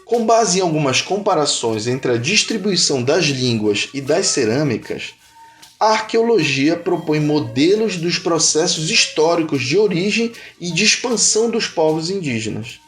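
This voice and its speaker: Male narrator, Brazilian accent, slightly high voice, measured explainer delivery over music. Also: sing-song, a bit bright. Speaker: narrator of an educational cartoon. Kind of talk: scripted educational narration.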